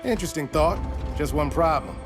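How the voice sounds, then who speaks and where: An actor playing a young half-machine hero who jokes in a dry, laid-back way. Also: male voice, fairly high pitch, expressive, a little fast, close to an everyday voice. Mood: wry. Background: game music.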